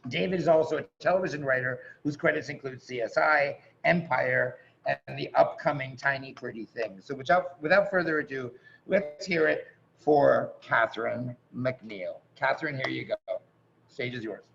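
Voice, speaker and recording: A man hosting a live online event, introducing performers in a halting, effusive and casual way over a video call. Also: mid-range voice, creaky, a bit bright. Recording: dull noisy video call audio.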